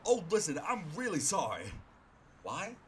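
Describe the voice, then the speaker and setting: A man's voice: sits high, wildly swooping pitch, a little slow, slight background noise, voice pushed up, exaggerated voice. Man playing a chatty, slangy streetwise hitman. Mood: awestruck, flustered. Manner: slangy, casual, blurting. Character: chatty, awestruck streetwise male hitman, brash but friendly. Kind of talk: dramatic comic-book dub dialogue.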